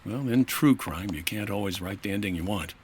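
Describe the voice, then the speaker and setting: Man playing a low-voiced, deadpan radio detective. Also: brisk, a little hiss or hum, close to an everyday voice. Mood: wry, sober. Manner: deadpan, wry. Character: dry, world-weary hardboiled male insurance investigator. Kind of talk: 1950s radio detective acting.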